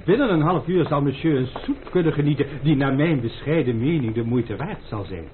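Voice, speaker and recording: An actor playing a formal, pedantic servant and food connoisseur, deferential and precise, in a historical radio play. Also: male voice, a little high, lively intonation, fairly quick, natural voice. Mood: fussy, knowing. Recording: tinny, band-limited old radio drama with noise.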